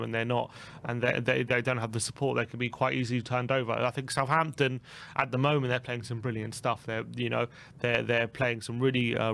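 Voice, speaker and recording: A man's voice: mid-range voice, gravelly, fairly bright tone. A man, co-hosting a casual football chat, talking in a rambling way with many restarts. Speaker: co-host.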